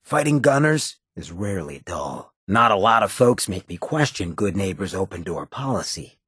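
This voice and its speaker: An actor playing a roguish, cynical charmer of a town mayor in a video game, with a raspy, gravelly voice and a cocky, slangy drawl. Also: male voice, sits low, very animated, normal speed, a bit bright, dry recording, clean studio sound, full character voice. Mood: wry, musing. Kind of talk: video game character dialogue.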